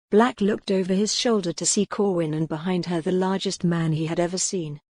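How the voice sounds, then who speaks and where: A woman narrates a historical novel in a fairly low voice. Her delivery is measured and dramatic, recorded close and dry. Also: darkish tone, dry recording. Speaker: audiobook narrator. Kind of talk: scripted dramatic fiction narration.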